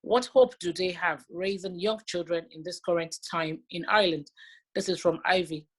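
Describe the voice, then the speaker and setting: A woman moderates an online session over a video call, politely reading out audience comments and questions with small hesitations. Her voice sits fairly low. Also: slightly rough. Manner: polite, reads questions out haltingly. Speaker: facilitator, moderator.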